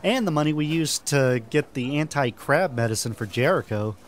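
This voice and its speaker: A man's voice: a little high, animated, normal speed, lightly acted. A man playing an earnest, agreeable sidekick in a gang of schemers, speaking precisely in a comedic radio drama. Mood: matter-of-fact.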